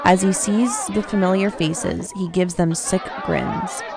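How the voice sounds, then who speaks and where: A woman's voice: lowish voice, big pitch swings, a little slow. A woman narrating a scripted radio drama, reading out the action as narrator. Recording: music and crowd noise behind. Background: music and crowd bustle.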